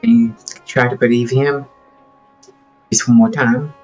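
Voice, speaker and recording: A man's voice: mid-range voice, flat delivery, slow, a little mellow, muffled recording. A man reading dialogue choices aloud on a live game stream. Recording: game music behind the voice.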